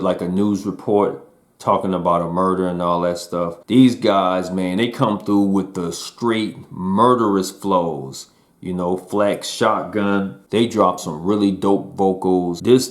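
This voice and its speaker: A man in his forties hosting a casual album review, talking to viewers in a relaxed, slangy way. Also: deep voice, unhurried. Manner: laid-back slangy talk to viewers.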